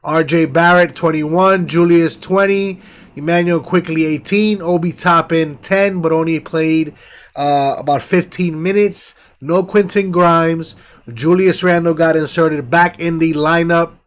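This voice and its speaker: A young man hosting a casual sports stream, his voice stuffed-up and nasal from congestion. He pitches a bit high and rattles off scores and shout-outs between fillers. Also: fairly even intonation, unhurried. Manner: hype, shout-outs, stat rundown with fillers. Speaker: sports podcast host. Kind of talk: casual post-game sports talk stream.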